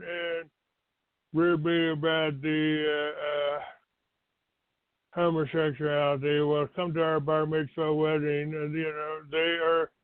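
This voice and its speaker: An older American man from Texas hosting a talk show, giving a rambling, halting monologue to his listeners. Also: on the high side, smooth. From Texas, United States. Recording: roomy, tinny phone-like line.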